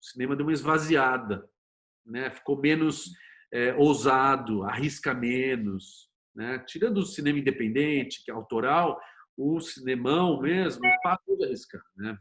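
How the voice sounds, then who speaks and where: A man's voice: medium pitch, a bit bright. A Brazilian man speaking as an interview guest, explaining things informally and thinking aloud in a conversational way. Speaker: interview guest, screenwriter and series producer.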